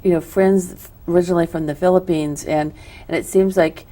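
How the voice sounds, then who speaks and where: A middle-aged woman hosts a local TV studio interview in a low, mellow voice. She rambles through long, chatty questions, filling them with "you know" and "I mean". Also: steady tone, brisk. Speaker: TV show host. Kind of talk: casual interview.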